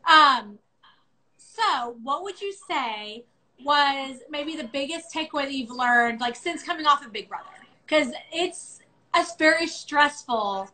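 High, bright-voiced young woman chatting informally. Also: animated, measured pace, rough recording. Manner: informal, bubbly, self-deprecating, swears. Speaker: interview host. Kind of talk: casual live chat interview. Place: at home on a phone live stream. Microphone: phone.

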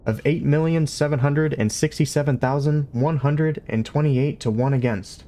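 A man's voice: on the high side, normal speed, warm tone, a little hiss or hum, pitched above the performer's own voice, close to an everyday voice. A man voicing a chirpy spaceship computer in a storybook reading, reciting figures precisely. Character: chirpy male shipboard computer.

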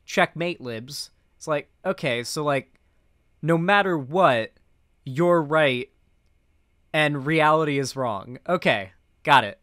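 A young man commentates on politics in a streamer's off-the-cuff style. His voice sits a little high and the sound is very dry. He restarts phrases often and talks with sardonic, sweary bite. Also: lively intonation, a bit bright, no echo. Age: young adult. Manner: halting, repetitive, sardonic, sweary. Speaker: streamer reacting to a video. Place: indoor streaming room.

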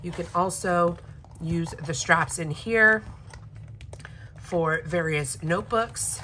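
Raspy-voiced woman, bright and chatty. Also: fairly low pitch, a little hiss or hum. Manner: enthusiastic, chatty, frequent throat clearing. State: raspy from recovering illness. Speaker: planner vlogger. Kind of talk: casual unboxing chat. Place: home desk.

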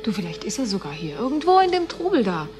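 A woman's voice: on the high side, very animated, fast talker, over music. A woman playing an admiring, easily impressed young law student in a naturalistic German radio play, eager and casual. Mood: admiring, defensive.

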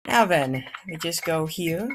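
Playful gamer rambling thru a lets play, mid pitch voice, bit of game music under it. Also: animated, slow. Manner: playful, rambling, drifts into nonsense babble. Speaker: gaming video host. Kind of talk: casual let's play commentary.

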